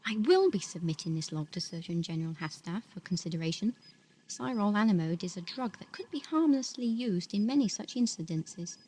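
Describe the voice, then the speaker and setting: A woman playing a clinical space-station physician dictating a formal medical log in an audio drama, measured and composed. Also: medium pitch, animated, mellow, breathy edge, low-quality recording. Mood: clinical, reflective. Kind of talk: amateur audio drama monologue.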